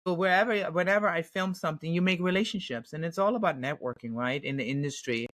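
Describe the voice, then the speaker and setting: A woman's voice: on the low side, quick pace, no echo, clean studio sound. A woman from Germany with a German accent, an actress chatting in an interview with lots of fillers and restarts. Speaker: actress, podcast guest. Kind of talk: casual interview answers.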